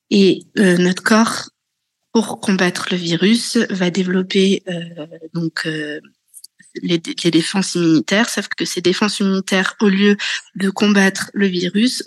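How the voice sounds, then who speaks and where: A woman in her thirties telling her own story slowly, with many fillers and hesitations. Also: on the low side, no echo. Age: 30s. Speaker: interview guest. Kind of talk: personal testimony.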